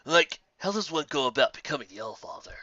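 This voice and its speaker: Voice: medium pitch, animated, fairly quick, sharp tone, no echo, muffled recording. A narrator voicing a sullen, sarcastic male monster soldier who grumbles under a demotion in an audio story.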